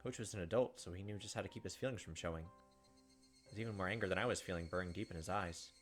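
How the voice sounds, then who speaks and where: A man's voice: low voice, fast. A man hosting a let's play, reading game text aloud dramatically with casual asides. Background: game music.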